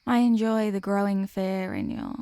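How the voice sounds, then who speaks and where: A woman's voice: mid-range voice, steady tone, normal speed, vocal fry, dark tone, clean tone, dry recording, lightly acted. A woman playing a teasing sleep paralysis demon who can be menacing or apologetic and tender, in an intimate ASMR audio roleplay. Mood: slow menace. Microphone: close microphone, dry.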